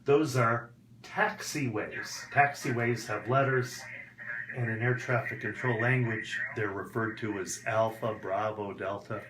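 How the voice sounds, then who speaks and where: A man teaching in an instructional video, explaining in a deliberate way and enunciating key terms and numbers. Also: on the low side, steady tone. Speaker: English teacher. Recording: echoey room with background noise.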